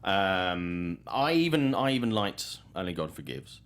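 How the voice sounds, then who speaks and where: A man's voice: low voice, sing-song, unhurried, fairly bright tone, clear, resonant tone. A man hosting a film news show in a casual, chatty and enthusiastic way.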